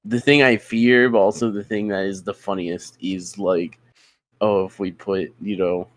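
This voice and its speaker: A man's voice: on the low side, fairly even intonation, unhurried, dry recording. A young man co-hosting a casual podcast, rambling and self-deprecating, often repeating himself. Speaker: podcast co-host.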